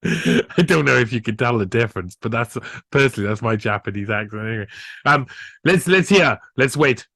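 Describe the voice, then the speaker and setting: A man's voice: medium pitch, animated, fairly quick, gravelly, sharp tone, no room sound. A man hosting a podcast, giving sarcastic, rambling commentary on a news clip and laughing at his own jokes. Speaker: podcast host.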